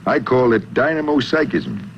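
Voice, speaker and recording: An actor playing a troubled, absent-minded professor in 1950s American radio drama, with a hesitant, formal, brooding manner. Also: male voice, medium pitch, huge pitch swings, moderate pace, creaky. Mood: grave, recounting. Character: troubled, absent-minded male professor with a frightening mental power. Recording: muffled 1950s radio recording, light noise.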